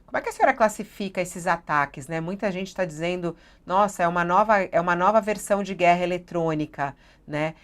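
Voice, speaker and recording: A woman's voice: lowish voice, brisk, hardly any pauses. A Brazilian woman hosting live news, presenting in an improvised, conversational way. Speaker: news host.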